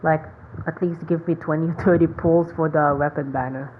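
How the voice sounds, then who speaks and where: A woman's voice: deep voice, fairly even intonation, normal speed. A woman giving casual, rambling gameplay commentary, thinking aloud as she streams. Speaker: gaming streamer. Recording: thin phone-like mic, noisy.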